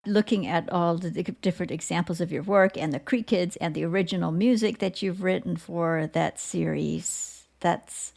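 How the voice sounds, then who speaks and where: A woman hosting a conversational podcast interview, appreciative and pausing now and then to find her words. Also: on the low side, vocal fry, darkish tone.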